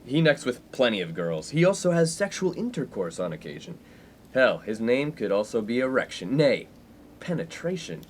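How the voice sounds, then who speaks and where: A man's voice: mid-range voice, very animated, moderate pace, slight background noise, natural voice. A man playing a brash young college friend in a comic radio play, pompous, wordy and playful. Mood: mock-formal, smug. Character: brash, inappropriate young male college friend, mock-formal prankster. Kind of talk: 1950s-set comic radio play acting.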